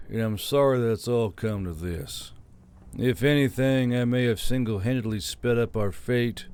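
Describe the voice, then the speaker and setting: A man's voice: on the low side, darkish tone, smooth, lightly acted. A man playing a weary, stern militia leader burdened by guilt in an audiobook drama. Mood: regretful, resolute.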